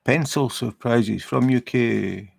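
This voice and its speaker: A middle-aged Scottish man chatting casually on a livestream while he crafts, relaxed and rambling, with many fillers and restarts. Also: mid-range voice, slow, creaky, no echo. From Scotland. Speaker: livestream host. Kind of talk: casual chat while crafting, reading viewer comments.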